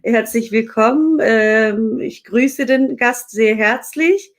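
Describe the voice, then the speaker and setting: A woman's voice: fairly high pitch, slow. A woman from Dersim hosting a live online interview, chatting casually with many fillers and restarts. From Dersim, Turkey. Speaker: host.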